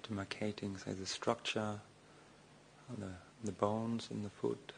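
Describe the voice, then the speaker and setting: A man teaching a guided meditation slowly and hesitantly, pausing often between short phrases. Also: lowish voice, little pitch movement, creaky, a little hiss or hum. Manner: slow, hesitant delivery with long pauses. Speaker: meditation teacher, Buddhist monk.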